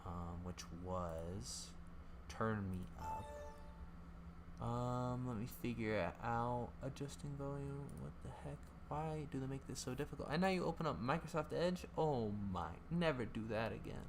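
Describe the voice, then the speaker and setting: A young man with a naturally quiet voice chats to his stream viewers. He sounds scattered and apologetic, fumbling with settings. His desk microphone picks up a noticeable background hiss. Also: medium pitch, measured pace. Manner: scattered and apologetic, juggling tasks. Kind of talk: casual live-stream chat.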